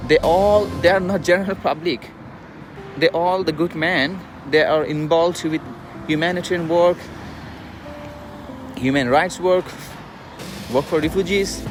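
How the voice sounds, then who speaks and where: A man with a Bangladeshi accent vlogging live from a street, speaking in a halting, earnest, repetitive way. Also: fairly high pitch, over music. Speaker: vlogger and refugee activist. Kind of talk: live street vlog, informal. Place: outdoors at a busy roadside protest.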